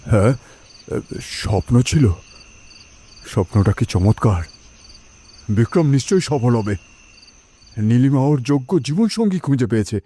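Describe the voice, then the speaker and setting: A man playing a fatherly, aging king in an animated fairy tale. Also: mid-range voice, measured pace, pauses often. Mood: dreamy, relieved. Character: aging male king, fatherly, hopeful for his heirs.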